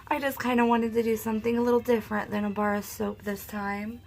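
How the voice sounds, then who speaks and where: A woman hosting a soap-making video, talking softly and casually because her throat is sore and she is losing her voice. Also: on the high side, level tone, fast talker, vocal fry. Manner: soft-spoken, talks quietly. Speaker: soap-making channel host. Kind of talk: casual craft tutorial narration.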